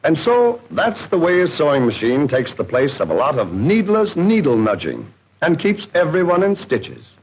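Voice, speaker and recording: A man's voice: on the high side, wildly swooping pitch, moderate pace, slight background noise. An American man narrating a scripted 1940s promotional film in a jocular, folksy showman's manner. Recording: dull, band-limited 1940s film soundtrack.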